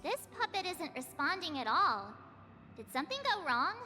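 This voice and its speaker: Cute little fairy sidekick, childlike and chirpy, extremely high, over loud music. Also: female voice, sing-song, moderate pace, heavily acted. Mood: curious. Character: small childlike floating fairy companion, naive and chatty. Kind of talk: video game voice acting.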